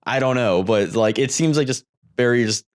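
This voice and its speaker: A man's voice: mid-range voice, normal speed, clean tone. A man co-hosting a podcast, casually chatting about movies with sarcastic asides and some swearing. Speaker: podcast co-host.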